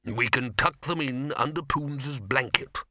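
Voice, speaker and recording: A man's voice: mid-range voice, unhurried, pushed and bright, no room sound. Man acting dry, bossy old senior wizard. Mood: conspiratorial, bossy. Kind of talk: audiobook character acting.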